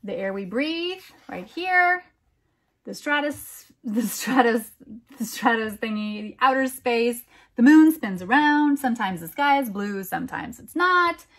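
A middle-aged American woman reads a storybook to small children in a fairly high voice. She takes it very slowly, stressing key words and slipping in conversational asides. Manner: animated, emphatic, deliberate phrasing for young children. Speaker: children's storytime reader, teacher. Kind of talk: expressive picture-book read-aloud with chatty asides.

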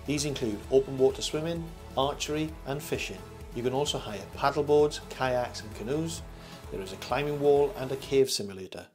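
A man's voice: medium pitch, moderate pace. Older man narrating over background music. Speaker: campsite review host.

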